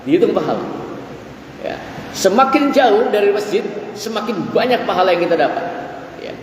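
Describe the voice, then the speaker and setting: A man's voice: high voice, lively intonation, normal speed, slight background noise. A man in his forties giving a dawn sermon in a mosque, stretching out his phrases and reciting Arabic verses. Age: 40s. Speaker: preacher. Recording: echoey hall, voice from far off.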